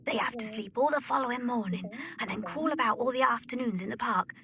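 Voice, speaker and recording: A woman acting a cheeky, eager young servant boy with a husky voice in an amateur radio drama. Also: fairly high pitch, quick pace, crowd noise, close to an everyday voice. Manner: colloquial, cheeky.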